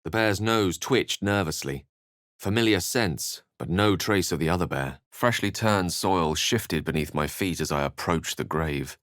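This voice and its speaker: A solemn male wizard telling a fantasy adventure. The voice is low and recorded very dry. Delivery is measured and dramatic, like scripted storytelling in a video game. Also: fairly bright tone, no echo. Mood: hushed suspense.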